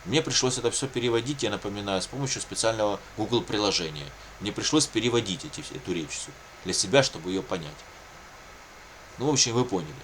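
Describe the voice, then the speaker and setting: A man's voice: lowish voice, animated, fast, fairly bright tone, a little hiss or hum, poor audio. A man talking casually and unscripted to his viewers, circling back over his points in a repetitive, colloquial way. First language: Russian. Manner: rambling, repetitive, with frequent colloquial catchphrases. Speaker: video blogger telling his own situation.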